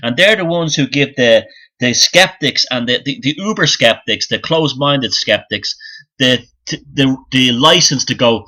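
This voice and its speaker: A man with a bright, forceful voice at an ordinary pitch delivers an off-the-cuff commentary. He is blunt and scornful, swears freely, and circles back over his phrases to hammer them in. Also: lively intonation, a little slow, low-quality recording. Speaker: YouTube commentator and author. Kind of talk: unscripted opinionated monologue.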